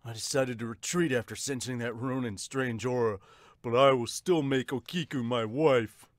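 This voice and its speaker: A man's voice: mid-range voice, steady tone, normal speed, no room sound, lightly acted. A man voicing a proud, selfish sumo champion from a manga, boastful and entitled in manner.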